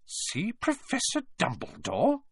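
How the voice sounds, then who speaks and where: Man putting on a high-pitched, stern older woman professor. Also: very animated, moderate pace, fairly bright tone, no echo, studio quality, heavily acted. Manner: cold, curt, authoritative. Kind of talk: audiobook character voice.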